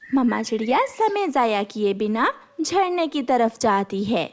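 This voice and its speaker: A woman's voice: fairly high pitch, big pitch swings, a little slow. A woman reading scripted narration for a children's cartoon story.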